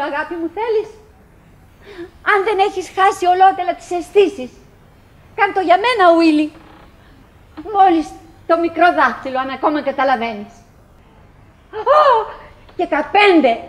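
An actress playing a chatty, wistful middle-aged wife who clings to brave cheer, in a halting, dramatic radio play monologue. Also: female voice, high-pitched. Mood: pleading, anxious.

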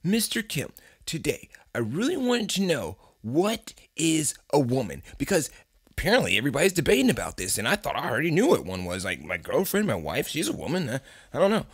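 Male radio host, comedic banter, very lively intonation, dry close sound, faint music. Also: on the high side, brisk, husky, no echo.

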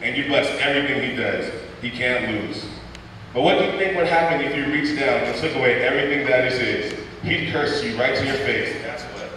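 Young man, skit narrator, steady read-aloud, booming church echo, distant from the mic. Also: fairly quick, a little hiss or hum. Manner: steady storytelling read-aloud, voicing quoted lines plainly. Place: large church sanctuary. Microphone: stand mic at pulpit, heard from far off. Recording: echoey church hall from far off.

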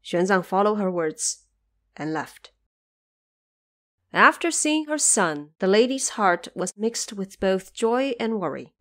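A woman's voice: mid-range voice, big pitch swings, slow. Woman narrating a classic tale. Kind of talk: scripted storytelling narration.

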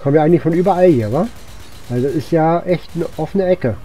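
A man's voice: on the high side, quick pace, dark tone, a little hiss or hum. A man gives casual, rambling video game commentary, thinking aloud as he plays. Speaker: gaming channel host. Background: game music and sound effects.

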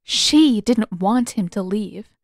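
A woman reading scripted fiction aloud as a narrator, with expressive, dramatic delivery. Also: mid-range voice, slow pace, no room sound, clean studio sound. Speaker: audiobook narrator of fan fiction.

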